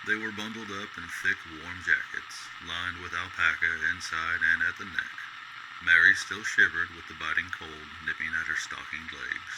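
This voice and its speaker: Deep-voiced male story narrator. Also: fairly even intonation, moderate pace. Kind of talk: scripted storybook narration for radio drama. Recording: clearly noisy background.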